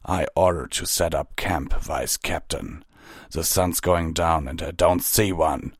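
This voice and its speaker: A stern, patient stallion captain in armor, voiced by a man reading a storybook, with a very low voice. He speaks in a measured, authoritative yet gentle way, sounding close and dry. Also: fairly even intonation, breathy edge, dry recording.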